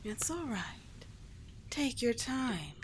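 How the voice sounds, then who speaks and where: A woman voicing a calm, teasing sea witch with soothing, formal endearments in a scripted ASMR roleplay. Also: fairly high pitch, extremely slow, slight background noise, full character voice. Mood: gentle, teasing. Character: calm, courtly, teasing sea witch, gentle yet slightly monstrous.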